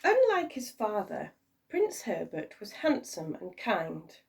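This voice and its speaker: Casting: female primary teacher type for children's storytime, dramatic, unhurried, highly animated delivery. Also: gravelly, recorded from a distance, slight background noise. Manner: expressive, dramatic.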